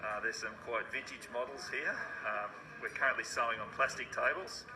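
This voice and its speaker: A man's voice: medium pitch, brisk. A man, a business owner relaxedly explaining a sewing project in an interview, with plenty of fillers. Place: sewing workshop. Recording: echoey room with background noise.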